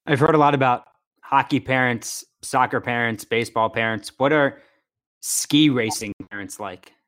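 Man, medium pitch, podcast co-host, casual questions, conversational. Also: normal speed, a bit bright.

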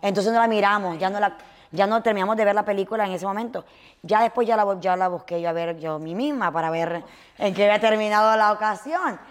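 A chatty woman in her thirties from Honduras hosts a relaxed conversation. She talks quickly, full of slang, and drops in bits of English. Her voice sits at a middle pitch. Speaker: podcast host. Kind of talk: casual chat.

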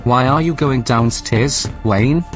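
A mid-pitched male machine companion played by an actor from a science fiction audio drama. It is polite and unflappable, choosing formal words and stating everything matter-of-factly, with faint music behind. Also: lightly acted. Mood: unruffled. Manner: measured, formal, matter-of-fact. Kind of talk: sci-fi audio drama acting.

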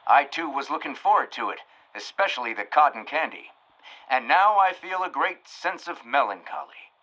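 Melodramatic local newsreader, higher-pitched and piercing, emphatic, comically sad, lo-fi sound. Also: male voice, lively intonation, moderate pace, pushed and bright. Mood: solemn, urgent. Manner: emphatic, announcer-like. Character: melodramatic small-town news announcer, comically sad. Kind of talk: news bulletin parody in audio drama.

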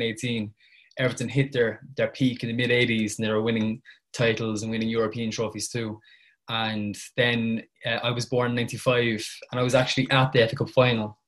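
Young Irish guest with a Cork accent, rapid and flat-toned, casual and chatty. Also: male voice, on the low side. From Ireland. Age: 20s. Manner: chatty, informal, peppered with "like". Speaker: guest journalist. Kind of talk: casual podcast chat.

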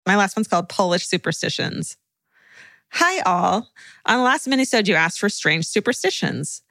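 A woman's voice: medium pitch, big pitch swings, normal speed, vocal fry, sharp tone, no echo. A woman co-hosting a podcast, reading listener letters and chatting expressively, laughing easily and swearing. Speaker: podcast co-host.

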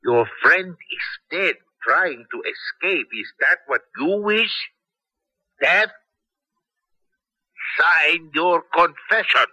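Cold taunting prison captain, old radio play, very bright hard voice, dry sound. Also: male voice, on the high side, takes it slowly, long pauses, no echo, exaggerated voice, poor audio. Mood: cruel, taunting. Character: menacing male prison captain, coldly taunting, deferential to superiors. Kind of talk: 1970s radio drama acting.

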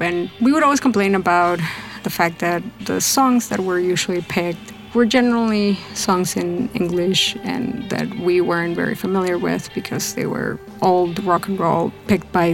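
Low-pitched, slightly creaky woman storyteller. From Lima, Peru. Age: late 30s. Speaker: storytelling guest, navy officer and psychiatrist. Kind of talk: personal storytelling.

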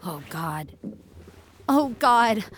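Young female programmer, played by a woman narrator: eager, easily rattled, animated pitch, audio fiction. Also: very slow, a little hiss or hum, heavily acted. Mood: sick, miserable. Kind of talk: audio fiction character dialogue.

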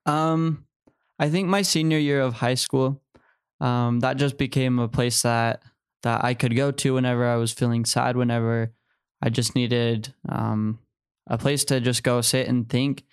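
A man's voice: mid-range voice, steady tone, unhurried, clean tone, dry recording, studio quality. A man in his early twenties telling personal stories as a guest in a podcast interview. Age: early 20s. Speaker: podcast guest, returned missionary.

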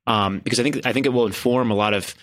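Give me a measured male host guiding a guest through his finances. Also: medium pitch, fairly bright tone. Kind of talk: interview.

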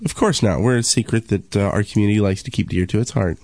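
A man with a fairly low voice banters on a gaming podcast. He is wry and teasing, tossing casual remarks into the chat. The sound is dry, without audible room. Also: fast, darkish tone, no echo. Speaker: podcast host.

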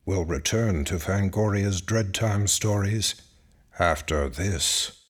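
A man with a husky voice announcing a horror audio show in a scripted style. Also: deep voice, slow pace.

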